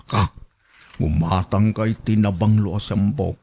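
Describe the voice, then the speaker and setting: A man's voice: on the low side, fairly even intonation, unhurried, creaky, dark tone. A man acting in a radio drama as a generous older pensioner who teases the woman he courts, with a chuckling delivery. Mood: teasing, pleased.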